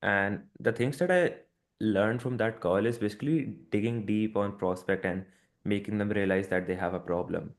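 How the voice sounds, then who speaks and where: A man with a very deep voice on a casual business call, offering advice to a fellow agency owner. He sounds encouraging and leans on "like" as a filler while he thinks. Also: normal speed.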